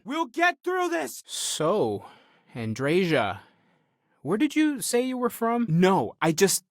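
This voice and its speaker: A man's voice: medium pitch, dry recording, studio quality, lightly acted. A man playing an earnest, bookish young scholar and explorer in a video game, speaking in a thoughtful, conversational way. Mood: casual, wry. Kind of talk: video game character dialogue.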